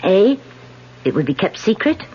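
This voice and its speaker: A woman's voice: medium pitch, very animated, moderate pace, slightly rough. An actress playing an earnest, principled female political candidate in a 1970s radio drama, speaking firmly. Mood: wary. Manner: earnest, firm. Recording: dull vintage radio broadcast.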